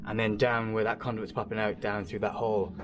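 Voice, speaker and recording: A man's voice: on the low side, level tone, a little fast, heavy background noise. A man presenting a casual hands-on vlog in a small boat cabin, explaining his work and thinking aloud hesitantly. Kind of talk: casual explanatory vlog talk while working.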